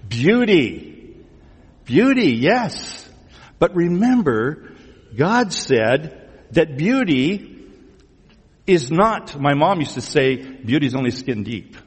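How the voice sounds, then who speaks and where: A man's voice: on the high side, very animated, takes it slowly, long pauses, breathy edge. A man speaking as a pastor in a conversational sermon, teasingly putting questions to the congregation and repeating phrases back. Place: large church room before a congregation. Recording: reverberant hall sound.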